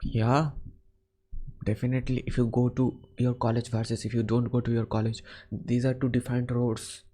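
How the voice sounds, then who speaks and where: A man's voice: mid-range voice, level tone, moderate pace, warm tone. A man from India with an Indian English accent, a teacher chatting in English over a call, often restarting his phrases. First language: Bengali. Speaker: teacher and host. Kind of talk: casual conversation.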